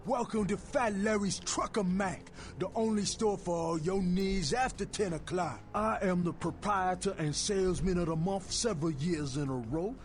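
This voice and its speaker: A man voicing a fast-talking, sleazy, heavyset street fence in a video game, full of slangy hustler patter. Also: high-pitched, soft background music, natural voice. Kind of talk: video game character dialogue.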